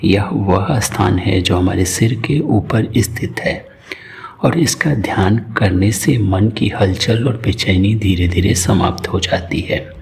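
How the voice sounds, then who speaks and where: A man narrating a guided meditation in a calm, soothing, unhurried way, with soft breathy edges to his voice. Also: lowish voice.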